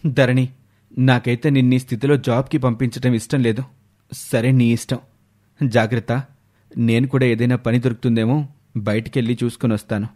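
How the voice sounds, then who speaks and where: A man voicing a gentle, sorrowful young husband in an animated story, speaking earnestly. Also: mid-range voice, moderate pace, pauses often, natural voice. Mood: concerned. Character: gentle, dejected young husband, honest and loving. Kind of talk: Telugu animated moral story dialogue.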